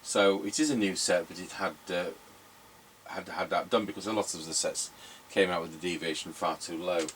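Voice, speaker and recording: A man's voice: sits low, fairly even intonation, normal speed, a bit bright, slight background noise. A man giving a casual hands-on demonstration as a radio repairer testing a set.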